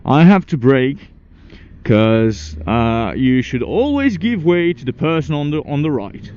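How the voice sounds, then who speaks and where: A young Frenchman with a French accent chatting casually while riding his motorcycle through city traffic, halting and full of restarts. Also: mid-range voice, animated, a little mellow. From France. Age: young adult. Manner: halting, many restarts and fillers, swears at traffic. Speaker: motorcycle vlogger. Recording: dull lo-fi helmet mic with faint traffic noise.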